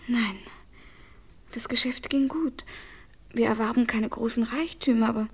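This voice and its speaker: A shaken widow in a radio crime play, husky, on the high side, hushed and halting. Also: female voice. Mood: guarded, subdued. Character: distressed, composed younger wife, newly widowed. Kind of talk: German radio crime drama acting.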